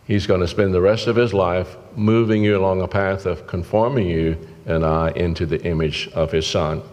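A man's voice: low voice, steady tone, moderate pace, a little mellow. An older man preaching a sermon from a church pulpit, in an earnest, folksy way. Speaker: pastor. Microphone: pulpit microphone. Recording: long church-hall reverb.

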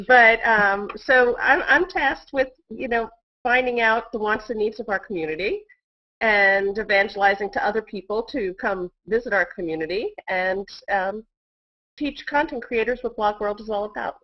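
A woman's voice: mid-range voice, moderate pace. A middle-aged woman chatting casually as an interview guest over a video call. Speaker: interview guest, community director. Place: indoor room at home. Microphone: earbud headset on video call. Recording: dull, lo-fi video call audio.

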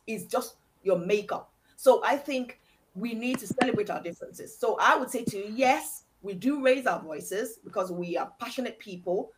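Emphatic Nigerian woman on a video call. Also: mid-range voice. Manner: emphatic, repeats "okay?" for stress. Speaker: guest. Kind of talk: passionate discussion. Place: home room with bookshelf. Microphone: video call webcam.

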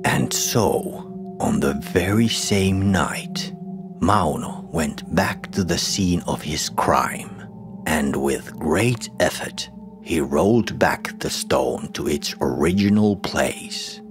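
A man tells a scripted horror folktale in an extremely low voice. His delivery is slow and dramatic, with music playing behind him. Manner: dramatic, deliberate storytelling. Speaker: storyteller.

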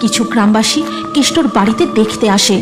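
A woman's voice: on the high side, fairly quick, over music. A woman narrating a scripted cartoon horror story in a dramatic storytelling manner.

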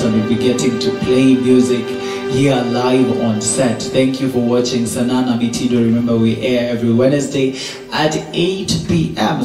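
A man's voice: mid-range voice, distant mic. A young man hosting a TV interview show with an upbeat, encouraging manner, seated and holding a handheld microphone. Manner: upbeat, encouraging, many short backchannels. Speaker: host. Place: indoor TV studio set, seated in an armchair. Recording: roomy studio, voice a little off mic, loud music behind.

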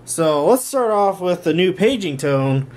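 A man's voice: high voice, sing-song, unhurried, a little mellow, slight background noise. A young man giving a casual, handheld video demonstration as the host of a home vlog. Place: bedroom and home workshop. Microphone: handheld camera or phone, held by the speaker.

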